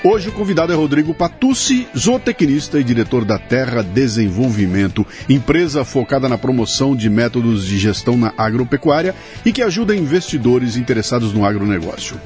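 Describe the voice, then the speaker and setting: A man's voice: mid-range voice, expressive, a little fast, hardly any pauses, a little mellow, faint music behind. A Brazilian man hosting a podcast, moving between scripted sponsor reads and informal, colloquial conversation. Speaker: podcast host.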